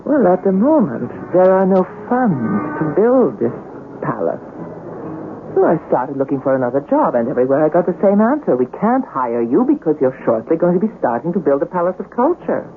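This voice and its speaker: A bold, coquettish young foreigner. Her delivery is very animated and teasing, in old radio drama style. The sound is muffled, with music playing underneath. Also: low-pitched, brisk. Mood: wry, confiding. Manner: coquettish, poised, playful. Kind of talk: 1970s radio drama acting.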